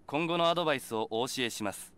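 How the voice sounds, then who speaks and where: A man voicing a calm, formal announcer voice that instructs a trainee in a visual novel. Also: mid-range voice, rapid-fire, clear, resonant tone, lightly acted. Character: calm male facility announcer voice. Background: game music.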